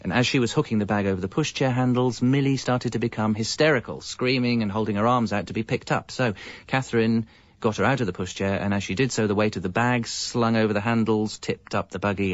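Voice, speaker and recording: A man's voice: on the low side, a little fast, no echo, muffled recording, close to an everyday voice. An English man playing a hapless, evasive young father, narrating and talking in a radio comedy with a hesitant, wry manner. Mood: wry, anxious. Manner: hesitant, self-justifying, wry. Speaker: narrator and lead character.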